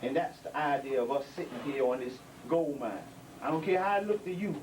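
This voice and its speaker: A man's voice: fairly high pitch, lively intonation, fairly quick, darkish tone. A middle-aged man addressing young members at a gathering, preaching with repeated emphasis and street vernacular. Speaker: elder speaker at a community gathering. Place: indoor building. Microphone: handheld microphone. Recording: distant mic with background noise.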